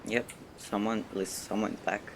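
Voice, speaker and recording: A woman giving casual, low-key live gameplay commentary as a streamer, muttering as she plays. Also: fairly even intonation, moderate pace, noisy background. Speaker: game streamer. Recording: game music behind voice.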